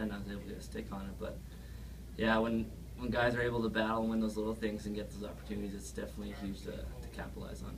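Young man, even low voice. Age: early 20s. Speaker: college hockey player. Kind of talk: post-game interview answers. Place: small press room, at a table. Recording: slightly noisy, distant microphone.

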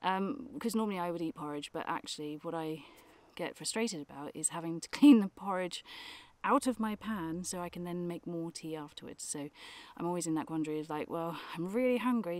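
A woman's voice: lowish voice, darkish tone. A woman in her thirties vlogging casually from a forest campsite, chatty and hesitant with many fillers. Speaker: outdoor vlogger. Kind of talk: casual camping vlog. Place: forest outdoors. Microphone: camera at arm's length.

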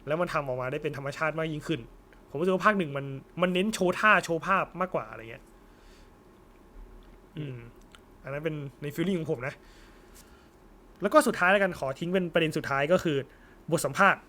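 A man's voice: on the high side, brisk, pauses often, bright, forceful tone. A young man chatting casually and informally as co-host of an anime discussion. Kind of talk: casual post-film chat.

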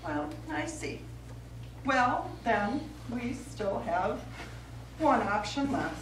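A woman's voice: a little high, sing-song, drawn-out words, exaggerated voice. A middle-aged woman on stage playing a theatrical, easily offended artist with a grand, florid delivery. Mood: gracious, theatrical. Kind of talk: live stage play acting. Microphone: far camera. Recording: roomy stage, distant camera mic, noisy.

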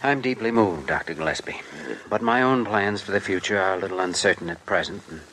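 Reserved doctor, low voice. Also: male voice, a little fast, bright, forceful tone. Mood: polite, guarded. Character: earnest, reserved, dutiful male hospital doctor. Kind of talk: 1950s radio drama acting. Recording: faintly noisy old radio recording.

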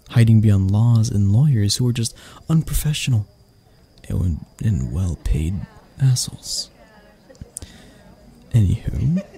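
A man acts out an arrogant male boss for an ASMR audio drama. His voice is breathy and gravelly, swinging widely in pitch while keeping an unhurried pace. He comes across as curt and sardonic, with anxiety underneath. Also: dark tone. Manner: curt, sardonic, stammering when flustered. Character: arrogant, grumpy male CEO, secretly anxious and vulnerable. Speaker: voice actor.

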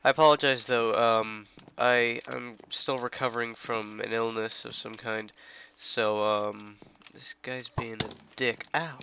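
A congested young man talking through a game on a headset mic, chatty and rambling, with sudden excited outbursts. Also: mid-range voice, a little slow, gravelly, few pauses, slight background noise. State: congested from illness. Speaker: gaming channel host. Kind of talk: casual let's play commentary.

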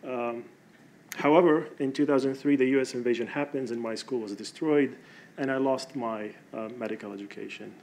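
A man in his thirties from Iraq telling a personal story from a podium on stage, pausing often with "uh" and "um". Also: medium pitch, fairly even intonation, a little mellow. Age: 30s. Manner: halting, with frequent "uh" and "um".

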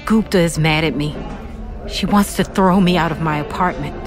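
Woman acting a low-voiced, guarded, cryptic thirtysomething. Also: moderate pace, close to an everyday voice. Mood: guarded, uneasy. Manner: cryptic, terse delivery. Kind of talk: scripted sci-fi audio drama acting. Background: music.

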